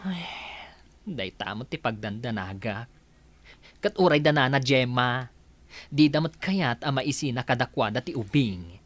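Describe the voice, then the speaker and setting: A woman's voice: extremely low pitch, expressive, unhurried, no echo, exaggerated voice. A woman playing an indignant, blunt foster mother in a radio drama, scolding in an emphatic, confrontational way. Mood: reproachful. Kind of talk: Ilocano radio drama acting.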